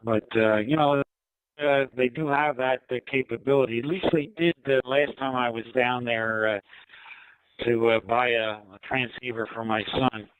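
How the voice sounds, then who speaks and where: An older American man in easygoing, hesitant casual chat, pausing often, heard through a digital ham radio link. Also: mid-range voice, steady tone, phone-quality sound, a little hiss or hum. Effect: digital ham radio voice codec. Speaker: amateur radio operator. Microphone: two-way radio microphone.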